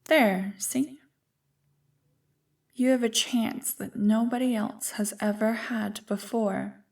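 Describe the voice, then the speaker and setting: A woman's voice: fairly high pitch, fairly even intonation, slow pace, gravelly, darkish tone, far from the mic. A woman playing an ancient, manipulative evil creature in an ASMR roleplay, teasing and condescending as she savors her words. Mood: conspiratorial, tempting. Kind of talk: ASMR roleplay, scripted monologue to the listener. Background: music.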